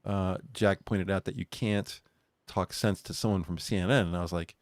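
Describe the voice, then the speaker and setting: Low-pitched male co-host, rambling chat, dry close mic. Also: steady tone, normal speed, dry recording. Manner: rambling, laughs at own jokes. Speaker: podcast co-host. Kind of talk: casual chat.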